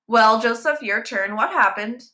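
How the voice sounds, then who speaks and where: Woman acting a sharp, stern schoolteacher. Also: fairly high pitch, a little slow, pitched above the performer's own voice. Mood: exasperated. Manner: sharp, commanding. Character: stern, exasperated woman schoolteacher scolding pupils.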